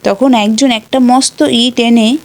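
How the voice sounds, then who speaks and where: Female narrator for children's tales, unhurried delivery, voice a little high. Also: steady tone, slight background noise. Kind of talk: scripted storytelling for children.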